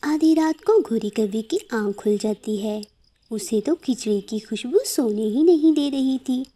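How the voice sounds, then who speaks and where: A woman's voice: a little high, measured pace, dark tone. A woman narrating a children's cartoon story.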